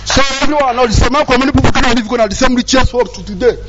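A man's voice: very high-pitched, very fast, noisy background. A man giving an impassioned personal testimony, agitated and repeating himself from Nigeria's southeast. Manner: agitated, repetitive, often asks for understanding. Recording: dull lo-fi sound with music behind.

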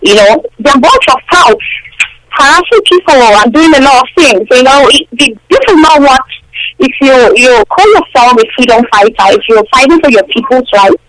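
Halting high-voiced woman caller from Nigeria. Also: expressive, a bit bright, dry recording, low-quality recording. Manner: hesitant, halting, many fillers. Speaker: radio call-in caller. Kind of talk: phone-in chat. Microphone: phone line.

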